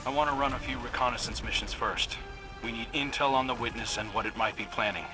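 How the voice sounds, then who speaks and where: Guarded, serious scout played by an actor, over music. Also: male voice, mid-range voice, quick pace, lightly acted. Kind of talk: video game voice acting.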